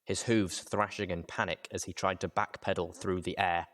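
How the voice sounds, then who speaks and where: A man with a low voice narrates fiction in the first person. He reads from a script as an audiobook narrator, with a dramatic storytelling delivery. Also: little pitch movement, moderate pace, a bit bright.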